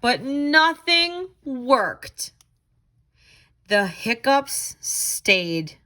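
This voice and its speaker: A woman's voice: high-pitched, very animated, extremely slow, bright, forceful tone. A woman tutor reading to children in a sing-song storytelling style, with chatty asides. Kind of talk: expressive read-aloud and craft lesson for children.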